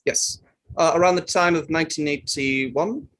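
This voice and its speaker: A man reading a courteous barrister in a courtroom play, putting formal, halting questions. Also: fairly high pitch, a bit bright, close to an everyday voice, rough recording. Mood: patient, formal. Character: courteous male barrister leading his own witness. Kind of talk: table read of a courtroom play.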